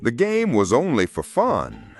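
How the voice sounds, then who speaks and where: A man voicing a blunt, slow-witted and defensive cowboy in an audiobook reading. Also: mid-range voice, huge pitch swings, soft background music. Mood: robust denial. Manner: blank, robust, injured protesting. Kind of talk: dramatic audiobook character reading.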